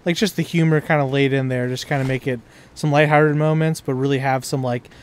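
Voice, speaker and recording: A man's voice: mid-range voice, steady tone, a little fast, clear, resonant tone. A man in casual, slangy gameplay chat, joking as he goes with his co-op partner. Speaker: gaming streamer, co-op player.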